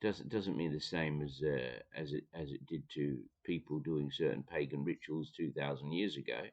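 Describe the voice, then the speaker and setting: Dutch-accented man, very low voice, halting reader-commentator on a muffled recording. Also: a little mellow. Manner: thoughtful, halting, many fillers and restarts. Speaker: teacher reading and commenting on a theology book. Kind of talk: reading aloud with commentary.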